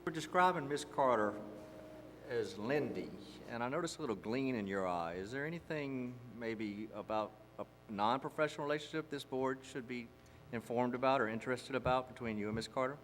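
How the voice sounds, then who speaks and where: A man playing a probing board member questioning an auditor in an amateur stage dramatization, formal and deliberate. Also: medium pitch, a little hiss or hum, lightly acted. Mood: pointed. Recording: echoey hall, long reverb.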